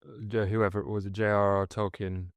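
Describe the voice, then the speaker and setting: A man hosting a casual podcast interview, chatty and encouraging toward his guest. His voice sits low and sounds smooth and clear. The recording is close and dry. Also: flat delivery, moderate pace, no echo. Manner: enthusiastic, affirming, chatty. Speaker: podcast host.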